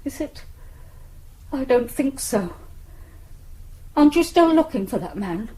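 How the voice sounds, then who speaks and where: High-voiced, anxious British mother. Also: very animated, unhurried, dark tone. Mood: fearful, doubting. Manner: pleading, hesitant, insistent. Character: anxious, distraught mother of a missing grown daughter. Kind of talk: radio drama acting.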